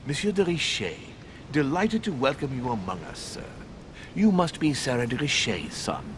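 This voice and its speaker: A man's voice: very animated, moderate pace, lightly acted. A man playing a deferential, ceremonious manor servant in a video game, formal and eager to reassure. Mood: deferential welcome. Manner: obsequious, formal, addresses listener in third person. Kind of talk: video game cutscene acting. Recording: constant rumbling background noise.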